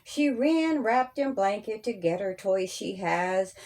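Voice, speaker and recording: A woman's voice: mid-range voice, lively intonation, slow pace, darkish tone. A woman reading her own children's story aloud in rhyming verse, with a sing-song cadence.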